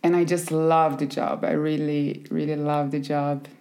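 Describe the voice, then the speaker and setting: A Brazilian woman in her thirties speaks English with a Brazilian Portuguese accent, her voice pitched low. She tells her story easily and breaks into soft laughs now and then. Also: little pitch movement, measured pace. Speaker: podcast guest. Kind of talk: casual conversation.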